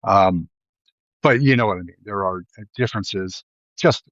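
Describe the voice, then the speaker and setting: A man's voice: deep voice, unhurried, a bit bright, dry recording, dull audio. A man from Ohio with a Midwestern accent, hosting a live video and talking in a rambling, digressive way with many fillers and restarts. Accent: Midwestern American. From Ohio, USA. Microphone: live stream mic.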